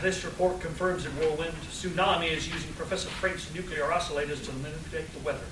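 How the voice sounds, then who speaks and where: A man's voice: on the high side, steady tone, quick pace, natural voice. A man playing an earnest, pompous eco-crusading superhero in a comic radio play, speaking in solemn declarations. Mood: grave deduction. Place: convention hall stage. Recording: echoey room, distant mic, hissy.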